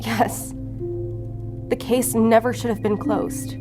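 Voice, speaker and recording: A woman's voice: on the high side, flat delivery, slow pace. Earnest female investigator over music. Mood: shaken. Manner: earnest, resolute. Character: determined, guilt-ridden female federal investigator. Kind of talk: audio fiction acting.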